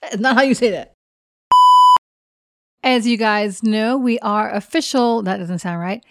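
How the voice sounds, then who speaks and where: A woman from the Chicago area with a Midwest accent touched by a Texas drawl, talking fast, slurring words and laughing often in casual tabletop podcast banter. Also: mid-range voice, noisy background. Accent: Chicago-area Midwest with a hint of Texas drawl. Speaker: podcast co-host and tabletop player. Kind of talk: casual blooper-reel banter.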